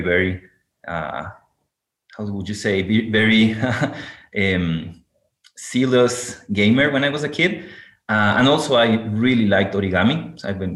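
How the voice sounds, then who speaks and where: Low-voiced man from Ecuador, hesitant, echoey room. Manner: hesitant, many fillers and restarts. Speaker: podcast guest, university teacher. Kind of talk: casual interview talk.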